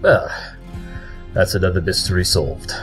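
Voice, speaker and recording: A man's voice: deep voice, measured pace, music in the background. A man playing an aloof, haughty pony detective in an audio drama, precise and pedantic in speech. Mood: smug, measured. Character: aloof male pony detective, Sherlock Holmes parody.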